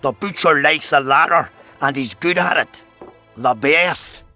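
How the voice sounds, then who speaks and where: A man's voice: a little high, measured pace, pushed and bright. A man playing a bitter, fatalistic middle-aged soldier and father in a fantasy video game. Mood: heated, grim. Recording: music behind the voice.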